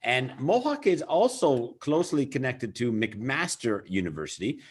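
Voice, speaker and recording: A man's voice: mid-range voice, normal speed, slightly rough. A man hosting a school webinar, enthusiastic and full of fillers. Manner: lively, enthusiastic, frequent fillers. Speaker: webinar host.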